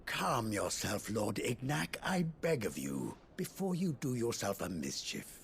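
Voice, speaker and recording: A man's voice: on the low side, sing-song, a little slow, vocal fry, slight background noise, exaggerated voice. A man playing a deferential, patient manservant in a video game, speaking with formal, obliging eloquence. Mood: soothing, apologetic. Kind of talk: video game cutscene acting.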